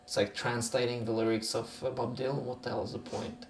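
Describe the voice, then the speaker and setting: A man's voice: medium pitch, level tone, fairly quick, echoey room, a little hiss or hum. A man with a Norwegian accent talking casually in an interview, musing in a rambling, sweary way. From Norway.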